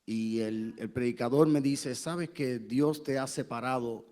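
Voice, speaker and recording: A man, a pastor, tells his faith testimony in a church interview in an earnest, halting way, pausing on many fillers and also interpreting his wife's words. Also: mid-range voice, warm tone. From Puerto Rico. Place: church sanctuary.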